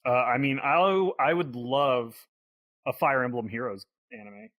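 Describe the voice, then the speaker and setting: A man co-hosting a podcast in casual conversation, with halting, hedging phrasing. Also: mid-range voice, animated, gravelly, dull audio. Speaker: podcast co-host. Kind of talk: casual chat.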